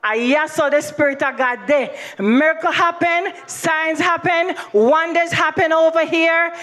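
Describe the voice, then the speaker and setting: A woman's voice: very high voice, steady tone, unhurried, fairly bright tone. A Jamaican woman giving a fervent church healing testimony, praising and thanking God over and over. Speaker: testimony giver.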